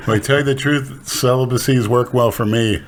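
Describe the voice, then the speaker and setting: A man's voice: mid-range voice, fairly even intonation, fairly quick, slight background noise. A man co-hosting a podcast, opinionated and blunt with his advice. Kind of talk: casual podcast chat.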